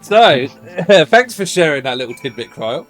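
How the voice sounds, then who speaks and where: Male game streamer, casual and playful, animated higher voice, faint music underneath. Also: measured pace. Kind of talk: casual game chat and voiced read-aloud of dialogue.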